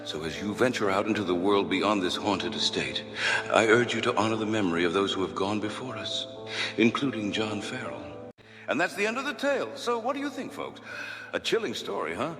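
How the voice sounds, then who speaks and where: An actor playing a ghostly male storyteller, solemn and folksy, telling spooky tales in measured theatrical style for an audio drama. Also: fairly low pitch, very animated. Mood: folksy, inviting. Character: male ghostly storyteller guest in a haunted estate. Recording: reverberant hall with music behind.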